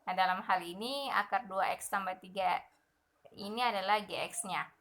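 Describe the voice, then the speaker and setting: A young woman explaining a numerical methods lesson over slides, steady and methodical, often pausing with fillers. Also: medium pitch. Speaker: math lecturer.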